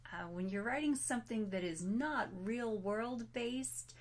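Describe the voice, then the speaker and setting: A woman author giving a casual recorded presentation while losing her voice, talking in a chatty, self-deprecating way, some words coming out breathy and whispered. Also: a little high, a little slow.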